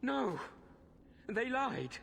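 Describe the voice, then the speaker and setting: An actor voicing a guilt-ridden, deluded male killer in a video game, with halting, desperate pleading. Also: very high-pitched, very animated, vocal fry, fairly bright tone, full character voice. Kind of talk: dramatic video game acting. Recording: long hall reverb.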